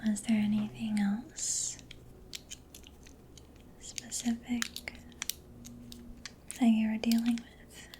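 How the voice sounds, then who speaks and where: A woman gives soft-spoken ASMR personal attention in a mellow, mostly whispered voice. She goes slowly and gently, murmuring reassurance close to the microphone. There is some audible noise behind her. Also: a little high, level tone. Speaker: ASMR performer.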